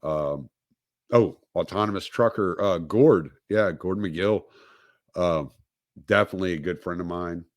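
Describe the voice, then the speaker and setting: Male host, slow unhurried talker, fills his pauses and restarts his sentences a lot. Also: low-pitched, dry recording. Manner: rambling, halting, many fillers and restarts. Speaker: podcast host. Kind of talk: casual livestream monologue.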